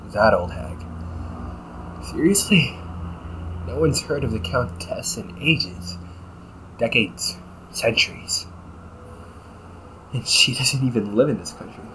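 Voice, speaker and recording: A man's voice: medium pitch, pushed and bright, slight background noise, full character voice, rough recording. A man playing a cynical, teasing vampire cop in an audio roleplay, talking in a slangy, sarcastic and flippant way. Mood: dismissive, irritated. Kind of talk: audio roleplay, casual conversational acting.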